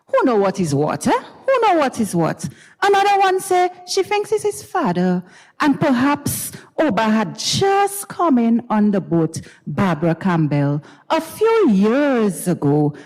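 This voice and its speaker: A woman with a Grenadian accent giving a dramatized story reading, expressive and gossipy, with musing hums and pauses. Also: a little high. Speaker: dramatic reader. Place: university recital hall stage. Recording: reverberant recital hall.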